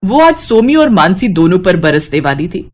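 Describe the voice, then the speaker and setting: A woman's voice: fairly low pitch, sing-song, normal speed, a little mellow, slight background noise. A woman storyteller giving scripted narration of a family moral story.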